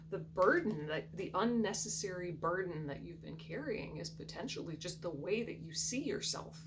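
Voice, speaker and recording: A woman giving a soft, reflective intuitive tarot reading in a groggy morning voice, often clearing her throat. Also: lowish voice. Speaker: tarot reader. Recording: steady background hum.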